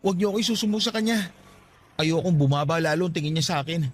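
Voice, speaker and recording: A man's voice: fairly high pitch, quick pace, a little hiss or hum, lightly acted. A man acting as a defensive, burdened grown son in an emotional radio drama. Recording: music playing behind the voice.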